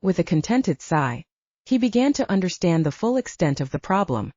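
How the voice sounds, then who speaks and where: A female audiobook narrator reading scripted fiction. Her voice is mellow, with a widely varied, lively intonation. The sound is close and dry, though a little dull. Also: on the low side, normal speed, no echo.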